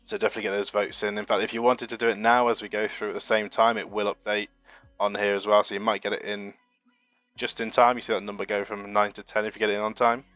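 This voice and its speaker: Man, young, from the UK, just over a flu, rambling self-deprecating stream chat, low flat voice. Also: fast, dry recording, faint music behind. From United Kingdom. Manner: chatty and rambling, self-deprecating, with frequent fillers. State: getting over a flu, a bit sniffly. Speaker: streamer hosting a marble racing channel. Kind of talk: casual live-stream chat reading chat messages.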